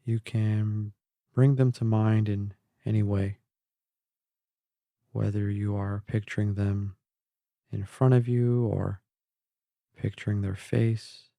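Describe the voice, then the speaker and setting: Male meditation guide: calm, gentle, mellow tone with some creak, recorded close and dry. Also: on the low side, dry recording. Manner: calm, measured, gentle, with long pauses. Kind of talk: slow guided meditation narration.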